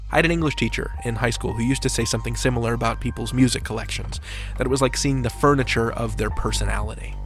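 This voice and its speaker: A man's voice: medium pitch, fairly bright tone. A man hosting a podcast with scripted narration in a wry, playful manner. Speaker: podcast host.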